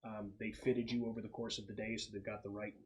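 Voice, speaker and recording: A man running a casual tabletop role-playing game as game master, explaining the setup with halting pauses. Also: lowish voice, flat delivery, a little mellow, poor audio.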